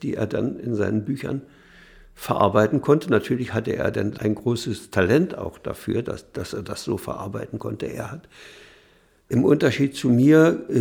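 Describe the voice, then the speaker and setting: An older man reflecting in an interview, speaking haltingly and searching for his words. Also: mid-range voice, creaky, darkish tone. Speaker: interviewee, a writer's son. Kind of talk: reflective spoken recollection.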